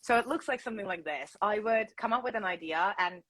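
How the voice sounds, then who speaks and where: A woman's voice: mid-range voice, brisk, fairly bright tone. A woman from Turkey with a Turkish accent, a content creator and coach chatting on a video call, laughing often. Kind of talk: casual interview chat.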